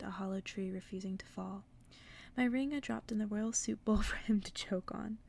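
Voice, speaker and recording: A woman reads softly in a hushed ASMR manner. Her voice is mellow and creaky, often slipping into a breathy whisper, and it stays soothing throughout. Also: mid-range voice. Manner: soft, whispery, slow and soothing delivery. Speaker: ASMR creator reading aloud. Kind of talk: ASMR bedtime story reading.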